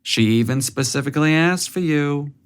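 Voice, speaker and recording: A man plays a doting older mother in a narrated story. She is pushy and affectionate, coaxing with theatrical exasperation in a voice pitched up a little. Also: moderate pace, clean tone, natural voice. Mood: pleading, insistent.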